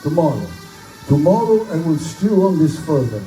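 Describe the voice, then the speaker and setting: An actor playing a suave, aristocratic villain who hunts, speaking formally and condescendingly in live pulp-drama style. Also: male voice, fairly high pitch, sing-song, moderate pace, warm tone. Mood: musing, satisfied. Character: suave aristocratic hunter general, gloating and courtly villain. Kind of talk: live pulp radio-play acting. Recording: echoey live venue, distant mic, music behind.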